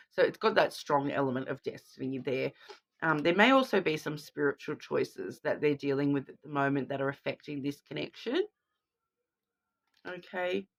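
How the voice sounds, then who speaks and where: A woman with a cold, sounding sniffly, giving a chatty card reading to viewers and often checking in with "okay?". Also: deep voice. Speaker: tarot card reader.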